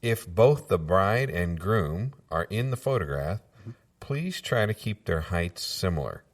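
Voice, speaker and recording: Man as a very deep-voiced, muddled radio announcer. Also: expressive, distant mic. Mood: muddled, hesitant. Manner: halting, muddled, trailing off. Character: rambling, unsure small-town radio station announcer. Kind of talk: improvised deadpan radio comedy.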